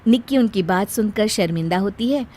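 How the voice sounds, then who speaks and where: A woman's voice: mid-range voice, expressive, brisk, warm tone, no room sound. A woman storyteller reading a scripted family tale as narration.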